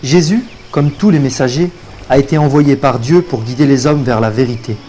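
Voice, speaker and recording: A man's voice: mid-range voice, normal speed, dark tone. A man narrating a religious cartoon tale in a formal, scripted storytelling manner. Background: music.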